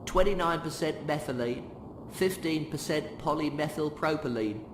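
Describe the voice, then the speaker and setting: A man voicing a slow-witted, matter-of-fact ship's computer in a deadpan manner. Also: a little high, roomy sound, noisy background, voice pushed up, close to an everyday voice.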